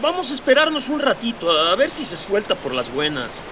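A man playing a naive, soft-hearted young adventurer in a radio drama, with a colloquial Mexican accent. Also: high-pitched, wildly swooping pitch, fairly quick, far from the mic, background noise. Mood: alarmed. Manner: colloquial, slangy.

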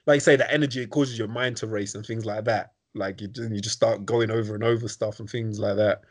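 A man, hosting a podcast in quick, laughing, chummy conversation. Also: lowish voice, steady tone. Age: 30s. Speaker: podcast host. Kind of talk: casual chat.

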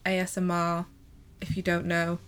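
A woman's voice: lowish voice, monotone, moderate pace, fairly bright tone, a little hiss or hum. A young woman co-hosting a podcast, chatting casually and giggling often, self-deprecating about her own speech. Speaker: podcast co-host. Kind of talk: casual chat.

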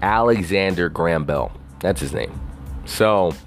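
A man's voice: sits low, a little slow, faint music behind. A man on a casual, rambling rant, swearing constantly and leaning on "you know" fillers.

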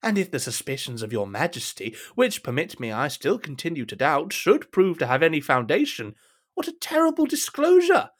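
Scheming cardinal with animated, courtly delivery. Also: male voice, on the high side. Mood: scandalised. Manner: measured, formal, insinuating, courtly. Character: smooth, scheming, deferential cardinal and royal adviser. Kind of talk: audio drama period acting.

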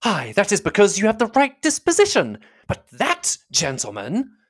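Fussy middle-aged mother, acted by an audiobook reader, high swooping pitch, effusive, indignant, emphatic, period novel. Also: wildly swooping pitch, normal speed. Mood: indignant, triumphant.

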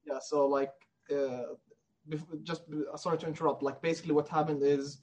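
Male indie developer, high-pitched and slightly raspy, earnest and emphatic in a conversational interview. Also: flat delivery, a little fast, a little mellow. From Middle East.

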